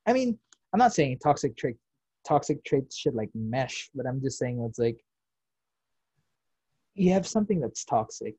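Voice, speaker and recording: A young man, talking loosely and at length. His voice sits dark and mellow, with a husky edge to it. He rambles through fillers and drops casual swears. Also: mid-range voice, big pitch swings, a little slow, pauses often, dry recording. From United States. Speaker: podcast host. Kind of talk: casual chat.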